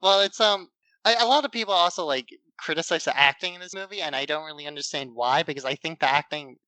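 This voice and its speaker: Bright-voiced man chatting about films. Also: a little high, quick pace, no echo, rough recording. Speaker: podcast co-host. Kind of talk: casual film discussion.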